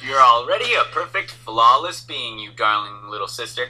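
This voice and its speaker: A man voicing a lazy, dramatic young gamer big brother for an anime fandub, theatrical and prone to swing from drawl to yelling. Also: medium pitch, big pitch swings, moderate pace, pitched below the performer's own voice, heavily acted. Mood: teasing, lazy. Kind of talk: anime fandub script reading.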